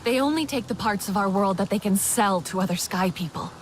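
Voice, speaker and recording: An actress plays a young woman warrior of an alien clan in a video game. She is earnest and steady, with a mid-pitched voice. Faint noise sits behind her. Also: brisk, close to an everyday voice. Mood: grim, earnest.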